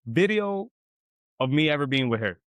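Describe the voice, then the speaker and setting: A young man from South Texas hosting a podcast in a barbershop, telling stories in slangy, animated casual chat. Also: on the high side, normal speed, no room sound. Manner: animated storytelling, slangy, says "bro" and "man" a lot. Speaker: podcast host.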